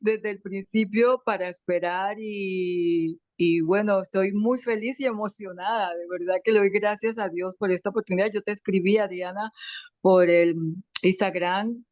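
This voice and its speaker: A woman with a slight foreign accent in her Spanish, giving an emotional, grateful personal testimony on a video call, halting with many restarts. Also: mid-range voice, steady tone, a little mellow, dry recording. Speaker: webinar participant. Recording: dry, muffled video call audio.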